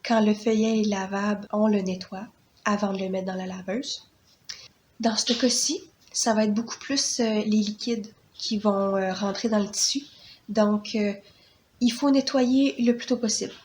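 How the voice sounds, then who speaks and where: Québécois woman vlogger, stuffy with a cold, unhurried and halting, casual how-to delivery. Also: medium pitch, a little hiss or hum. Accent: Québécois French. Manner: hesitant, many fillers and restarts. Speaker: parenting vlogger.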